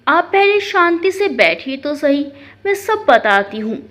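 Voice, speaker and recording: A woman's voice: high voice, sing-song, measured pace, fairly bright tone, exaggerated voice. A woman voicing a patient middle-aged mother who placates and reasons in a family story. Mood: placating. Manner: placating, persuading. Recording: dull, lo-fi sound.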